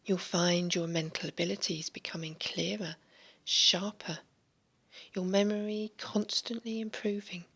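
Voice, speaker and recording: A woman guiding a hypnosis session in a slow, soothing, largely whispered voice, giving relaxation suggestions. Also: lowish voice, fairly bright tone. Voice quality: breathy. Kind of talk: guided hypnosis recording.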